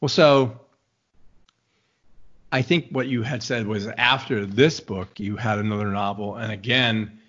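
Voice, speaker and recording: Male interviewer, lowish voice, chatty and meandering with his questions; muffled, lo-fi sound. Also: fairly even intonation, measured pace, pauses often, fairly bright tone. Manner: rambling, searching questions with many restarts. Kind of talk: conversational interview.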